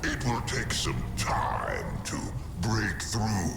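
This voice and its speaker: A man's voice: lowish voice, wildly swooping pitch, takes it slowly, vocal fry, over music, full character voice. A man playing a vain, decadent alien emperor in a video game, theatrical and pompous, savoring each word. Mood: reporting, deferential. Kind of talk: cinematic video game acting. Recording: dramatic score behind the voice.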